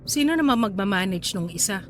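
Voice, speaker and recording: A woman's voice: medium pitch, expressive, a little fast, soft background music, close to an everyday voice. An actress playing an anxious, evasive woman with a secret in a Tagalog radio drama. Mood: guarded. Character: anxious, evasive pregnant woman hiding an affair.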